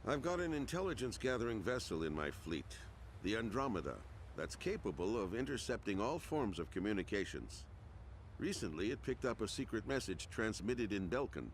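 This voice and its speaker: A grave, weary carrier captain in a game cutscene. His low voice moves expressively as he speaks with formal deliberation. A faint rumble sits behind him. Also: big pitch swings, normal speed. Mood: measured, serious. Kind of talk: video game cutscene acting.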